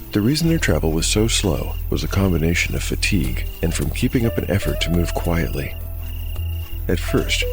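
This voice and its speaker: A man acting as game master and narrator of a dark fantasy audio drama. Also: sits low.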